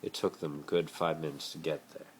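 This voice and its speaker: A man with a very deep voice reads a story aloud as an amateur. He trips over words and corrects himself as he goes. The phone recording has a faint layer of noise. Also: little pitch movement, normal speed. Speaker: amateur reader of fan fiction. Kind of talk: dramatic story reading.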